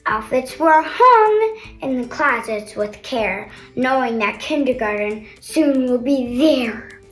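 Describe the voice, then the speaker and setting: A young girl reading a picture book aloud with chatty asides, in an expressive sing-song manner. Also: sits high, takes it slowly. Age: child, older sister of a kindergartner. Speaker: storybook reader. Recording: roomy echo, faint background music.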